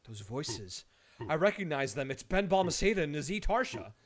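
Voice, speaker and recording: A man's voice: fairly high pitch, sing-song, normal speed. A man giving casual, joking live commentary as a game streamer, irreverent and sweary.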